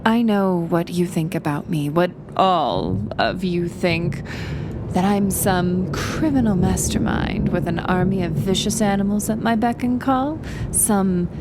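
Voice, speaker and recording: A woman playing a wry, confident supervillainess in an intimate ASMR roleplay, teasing the listener with pauses between her phrases. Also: lowish voice, expressive, very noisy. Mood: wry, confiding. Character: wry, confident supervillainess who talks to animals. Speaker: voice actor in an audio roleplay.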